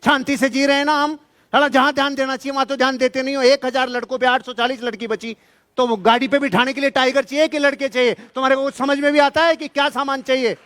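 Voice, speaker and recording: A man's voice: very high-pitched, little pitch movement, fast, fairly bright tone, voice pushed up. A man voicing an exasperated common man in a comic seminar bit, scolding and ranting in indignant everyday language.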